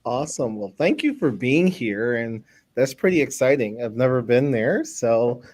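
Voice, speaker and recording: A man's voice: mid-range voice, animated, moderate pace, darkish tone, no room sound. A man hosting a live stream, chatting casually and rambling a little between laughs. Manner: friendly, rambling, laughs easily. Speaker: host.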